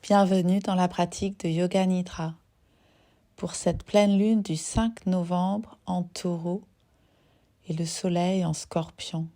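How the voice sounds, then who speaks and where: A woman guides a meditation in a slightly low, warm and relaxed voice. Her delivery is very slow and soothing. She speaks in short phrases separated by long, unhurried pauses, as a yoga nidra teacher would.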